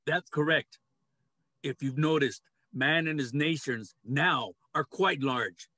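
A man's voice: fairly high pitch, takes it slowly, pushed and bright, no echo, full character voice. An older man playing a grave animal council member in a dramatic play reading, emphatic and formal. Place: cluttered home room, video call.

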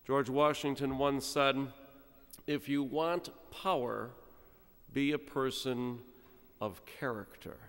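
A middle-aged man, a priest, giving an animated, humorous sermon at a pulpit microphone, with sudden quiet drops. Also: medium pitch, slow pace, clear, resonant tone. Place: large church, speaking at a pulpit. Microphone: stand mic at pulpit. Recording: long church reverb.

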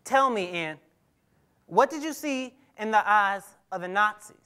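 A high-pitched, proud and bitter teenage boy of 1950s America in a stage play. He speaks emphatically and stammers now and then. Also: expressive, measured pace, bright, forceful tone, clean tone, exaggerated voice. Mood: doubting. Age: young adult. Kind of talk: staged dramatic reading. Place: theatre stage before a seated audience. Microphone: clip-on lapel mic.

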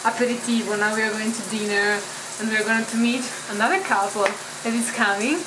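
A woman whose first language is Italian, chatting excitedly and giggling as a travel vlogger. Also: a little high, brisk, echoey room, noisy background. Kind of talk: casual travel vlog chat.

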